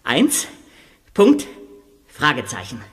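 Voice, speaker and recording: A hushed, frightened captive. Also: female voice, a bass voice, wildly swooping pitch, takes it slowly, husky, full character voice. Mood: hushed, tense. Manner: breathless, hushed, stammering.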